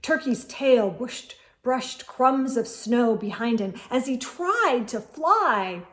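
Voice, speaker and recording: A woman reading a children's storybook aloud as host, in an expressive storytelling manner. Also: a little high, takes it slowly.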